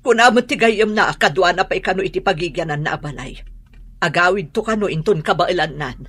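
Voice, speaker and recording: A woman's voice: fairly low pitch, fairly quick, a bit bright, slightly rough. A woman with an Ilocano accent playing a worried, scolding middle-aged mother in a radio drama.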